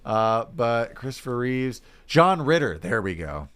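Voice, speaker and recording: A man's voice: mid-range voice, very animated, unhurried, fairly bright tone, clear, resonant tone. A man with a mid-range voice chats casually as a podcast co-host. His intonation swings widely, and he often stops and starts while he hunts for the right word.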